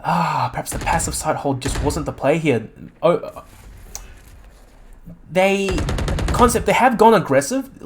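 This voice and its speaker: A man working as an esports caster and interviewer, with a slightly croaky voice and an excitable way of talking. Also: a little high, background noise. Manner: excitable, exclaiming at big plays. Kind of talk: live game commentary and post-match interview.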